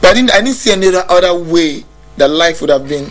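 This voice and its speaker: Reflective Nigerian man, a little high. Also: lively intonation, fast talker, a bit bright. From Nigeria (Bayelsa State). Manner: reflective, often restarts sentences, laughs easily. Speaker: musician guest. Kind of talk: casual interview talk.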